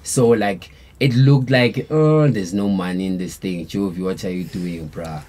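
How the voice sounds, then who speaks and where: Husky dark-voiced South African man. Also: low-pitched, a little slow. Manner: laid-back, rambling, peppered with slang fillers. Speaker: band member, guest. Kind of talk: casual storytelling interview.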